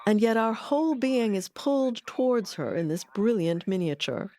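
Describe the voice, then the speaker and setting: Woman narrating a documentary, measured delivery. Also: fairly high pitch, expressive, mellow. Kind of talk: scripted narration.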